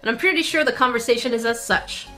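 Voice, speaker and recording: A woman's voice: on the high side, fast talker, sharp tone, soft background music. A woman in her thirties from Canada hosts a casual craft kit review in a room full of toy shelves, speaking playfully into a desk microphone. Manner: playful, teasing, laughs often. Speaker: craft channel host. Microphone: desk microphone on camera.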